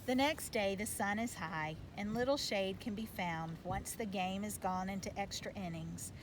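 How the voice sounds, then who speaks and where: A woman's voice: medium pitch, moderate pace. A woman reading a children's storybook aloud as narrator. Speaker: reader of a children's book. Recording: loud background noise.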